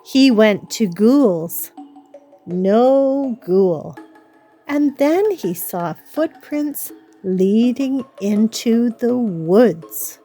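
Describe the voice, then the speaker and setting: A woman reading a children's story aloud as an expressive, dramatic storyteller. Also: fairly high pitch, very slow, soft background music. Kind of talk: expressive read-aloud narration.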